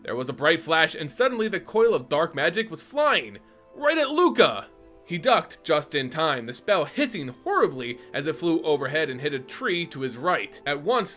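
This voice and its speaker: A man's voice: a little high, sharp tone. A man giving a theatrical, emphatic dramatic reading of a fantasy story as a storyteller.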